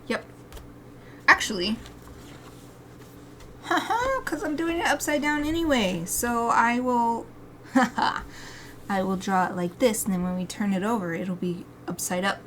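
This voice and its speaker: A woman's voice: on the high side, lively intonation, a little slow, pauses often, a little hiss or hum. Giggly, chatty woman drawing on stream. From United States. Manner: chatty, giggly, talks to herself and reads chat aloud. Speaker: artist streaming live drawing. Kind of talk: casual chat while drawing live.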